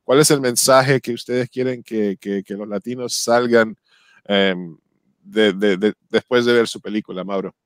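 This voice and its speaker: Man, thirties, festival Q&A host, headset mic, dry close sound, halting and repetitive phrasing. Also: lowish voice, steady tone, dry recording. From Colombia and Uruguay. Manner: halting, repeats words while searching for phrasing. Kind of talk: video-call interview. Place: home room with bookshelves. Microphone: headset mic on a video call.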